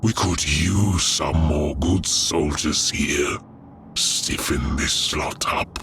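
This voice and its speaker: A man voicing a horrible undead general in an audiobook, menacing yet grimly jovial, with a deep grinding rasp and drawn-out delivery. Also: noisy background, heavily acted. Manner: slow, drawn-out, darkly complimentary.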